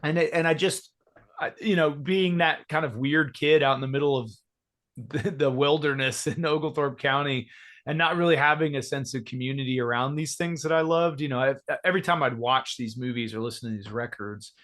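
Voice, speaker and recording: A man in his thirties from the American South chats at an easy, middling pitch. He rambles in loose, casual sentences, full of fillers and restarts, as he answers interview questions. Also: fairly quick, fairly bright tone. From Georgia, United States. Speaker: interview guest, musician.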